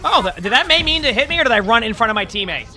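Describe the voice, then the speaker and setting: A man's voice: very high voice, sing-song, very fast, bright, forceful tone. A man with a Boston accent giving casual, rambling commentary as he streams a game, often correcting himself. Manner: chatty, rambling, self-correcting. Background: game music.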